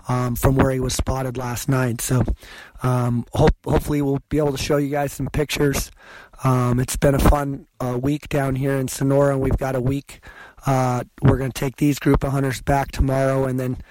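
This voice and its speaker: A hoarse man who has nearly lost his voice, talking outdoors on a mountainside. He tells his story and draws lessons from it in a steady, instructive way. His delivery stays flat and even, with a dry sound. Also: mid-range voice, dry recording, poor audio. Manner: instructive, recounting and drawing lessons. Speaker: hunting podcast host. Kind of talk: casual outdoor storytelling and advice.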